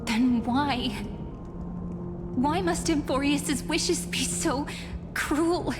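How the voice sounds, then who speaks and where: A woman playing a gentle, sorrowful young fantasy heroine in a game, speaking with earnest care. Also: high voice, drawn-out words. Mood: anguished. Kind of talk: scripted game voice acting. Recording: long hall reverb, music behind. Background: music.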